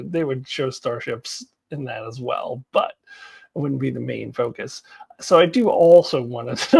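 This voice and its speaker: A woman's voice: low-pitched, darkish tone. A woman hosting an online video, giving casual, unscripted commentary in a chatty, rambling way with bits of laughter in her talk. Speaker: YouTube host commenting on TV franchise news.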